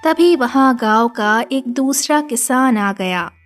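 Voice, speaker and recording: High-pitched, slow female storyteller. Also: expressive, soft background music. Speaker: narrator. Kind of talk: scripted children's story narration.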